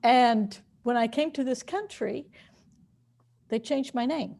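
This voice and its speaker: A woman's voice: a little high, slow pace, creaky, darkish tone. A woman from Cuba, an attendee at an online seminar, sharing a personal story hesitantly, with many fillers.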